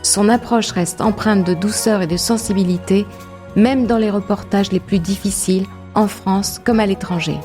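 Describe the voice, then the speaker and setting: Composed woman radio interviewer, soft music. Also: medium pitch. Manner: measured, composed delivery. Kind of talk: scripted introduction and interview questions. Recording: radio show with faint music.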